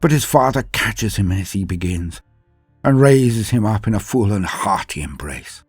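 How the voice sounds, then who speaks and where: A man narrating a dramatic first-person war story as a grim, brooding space marine commander, grave and deliberate, with theatrical pauses. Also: fairly low pitch, lively intonation, darkish tone, breathy edge, no echo, soft background music. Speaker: lore channel narrator.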